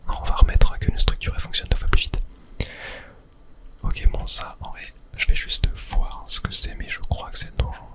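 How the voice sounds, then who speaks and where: Someone whispering softly and breathily, thinking aloud in a casual ASMR gameplay commentary. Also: normal speed. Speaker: video game streamer narrating play.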